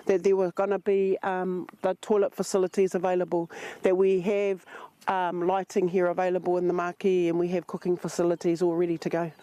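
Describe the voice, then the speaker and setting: A man's voice: sits high, completely flat delivery, a little fast, mellow, no echo. A man explaining things as a community organiser in a news interview.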